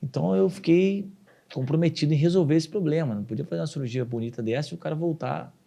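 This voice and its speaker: A man's voice: fairly high pitch, quick pace, gravelly. A man, a surgeon guest telling stories on a podcast and acting out quoted dialogue. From southern Brazil. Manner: animated storyteller, acts out quoted dialogue. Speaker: urologist guest. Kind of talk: casual podcast interview.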